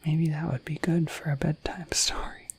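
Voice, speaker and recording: A woman voicing a shy, friendly dragon in a breathy whispered ASMR roleplay, rambling gently and hesitantly to the listener. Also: sits low, little pitch movement, normal speed, full character voice. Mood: gentle, chatty. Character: shy, friendly, dorky dragon in humanoid form.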